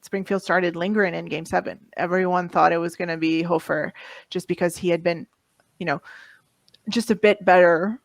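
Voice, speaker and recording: A guest hockey writer on a podcast, relaxed, using many fillers and laughing easily. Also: mid-range voice, normal speed, vocal fry, no room sound. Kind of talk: casual podcast interview.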